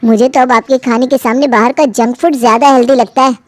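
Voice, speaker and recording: A woman's voice: a little high, fairly even intonation, fast talker, dry recording, voice pushed down, lightly acted. A woman voicing a fussy, health-conscious young adult son who protests to his parents. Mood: annoyed.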